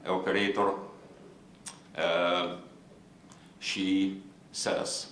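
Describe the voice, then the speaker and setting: A low-voiced man with a Lithuanian accent moderates a conference panel in formal, halting English, stopping often on fillers. The room sound is roomy, with a faint hiss underneath. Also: little pitch movement, a bit bright.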